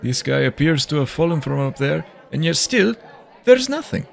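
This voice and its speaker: A man's voice: fairly high pitch, sing-song, moderate pace, vocal fry, some chatter behind. Man acting as a dry, guarded investigator. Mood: frustrated. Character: guarded, matter-of-fact male psionic investigator. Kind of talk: audio drama acting.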